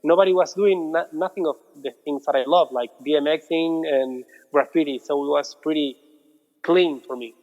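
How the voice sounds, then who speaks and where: A man in his thirties from Argentina with an Argentine Spanish accent, chatting casually as an interview guest, relaxed and repeating his words. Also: fairly high pitch, normal speed. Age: 30s. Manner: relaxed, repeats words, non-native phrasing. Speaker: guest, muralist. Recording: dull audio with long reverb.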